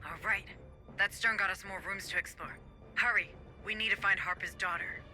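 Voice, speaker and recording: A woman acting a businesslike administrator in game mission dialogue. The voice is crisp, brisk and directive, with music behind it. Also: mid-range voice, fairly even intonation, lightly acted. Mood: businesslike, urgent.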